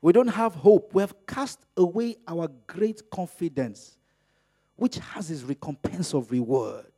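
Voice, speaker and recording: A middle-aged man whose first language is Yoruba, preaching a sermon in an animated way, acting out little dialogues as he goes. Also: unhurried, slightly rough. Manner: animated, acts out dialogues with rising pitch and volume.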